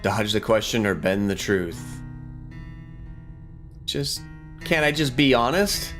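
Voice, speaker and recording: Low-voiced man doing game commentary over music. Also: animated, takes it slowly, fairly bright tone. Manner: informal, thinking aloud. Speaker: gaming video host. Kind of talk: casual let's play commentary, reading game text aloud. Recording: music behind the voice. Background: guitar game music.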